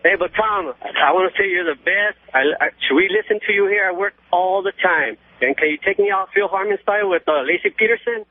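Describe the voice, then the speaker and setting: A man with a fairly high voice chats casually as a radio show caller. He comes over a dry, tinny telephone line with little room sound. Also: fairly quick, hardly any pauses, dry recording. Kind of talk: casual phone-in chat. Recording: tinny, muffled phone line.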